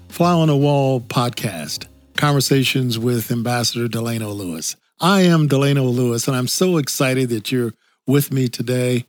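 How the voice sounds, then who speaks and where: An older man hosting a podcast interview, enthusiastic and heavy on praise, often chuckling between remarks. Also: mid-range voice. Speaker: podcast host. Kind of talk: casual interview chat.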